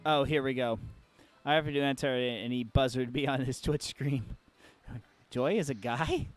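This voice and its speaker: A man in his thirties with a voice higher than most men's, chatting casually while livestreaming a game and telling stories with lots of laughter. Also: normal speed, faint music behind. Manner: laughing storyteller who acts out quoted voices. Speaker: streamer.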